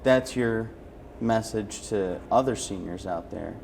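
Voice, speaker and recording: A man's voice: on the low side, slow pace, gravelly, a little mellow, slight background noise. A man hosting a friendly conversational interview in an encouraging, affirming manner. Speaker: interviewer and host.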